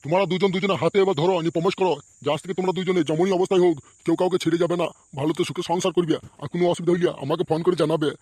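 A man's voice: sits high, little pitch movement, very fast, hardly any pauses, darkish tone, no echo. A man voicing a bossy, blustering village man in a comedy cartoon, with crude speech. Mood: officious. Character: bossy, foul-mouthed village man at a wedding. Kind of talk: amateur comedy cartoon voice acting.

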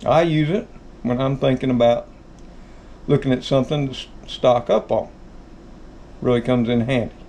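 Folksy Southern man with gravelly voice. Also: mid-range voice, normal speed, pauses often, dark tone, slight background noise. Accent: Southern American. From American South. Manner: folksy, addresses viewers as folks. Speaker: channel host. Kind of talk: casual how-to talk. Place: home kitchen.

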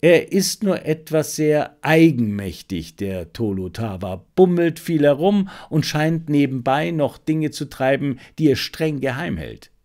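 A talkative, proud owner of a travelling animal show, acted out by a male audiobook reader. His pitch moves up and down in lively swings, and he chats with small hesitant interjections. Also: unhurried, hardly any pauses. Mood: casual, grumbling.

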